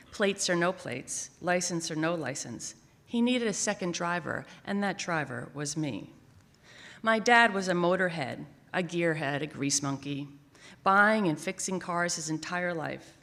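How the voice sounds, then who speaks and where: A woman writer reading a personal essay aloud at a live event, expressive and emphatic. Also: on the low side. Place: theater stage at a library, live event. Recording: echoing theater with long reverb.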